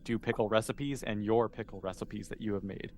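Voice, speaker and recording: A man's voice: on the low side, fairly quick, a little mellow. A man acting as game master, narrating a tabletop roleplaying game in a casual, amused, improvised way. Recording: noticeable background noise.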